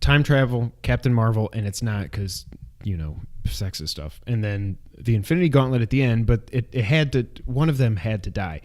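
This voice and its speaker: Excited man in casual review chat. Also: on the low side, normal speed, hardly any pauses. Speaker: co-host of a movie review show.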